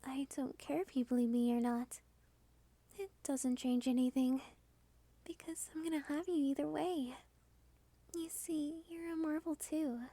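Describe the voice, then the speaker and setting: A woman voicing a cute, dominant female vampire in an ASMR roleplay, soft-spoken, polite and teasing with quiet possessiveness. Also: high voice, fairly even intonation, measured pace, dry recording. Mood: possessive, dominant.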